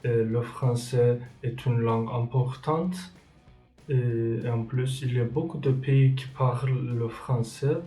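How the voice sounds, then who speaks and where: A man's voice: medium pitch, steady tone. A young man, a native Turkish speaker, carefully reciting a prepared answer as a language student. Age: young adult. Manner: slow, careful learner's delivery. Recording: echoey room, from far off the mic. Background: soft background music.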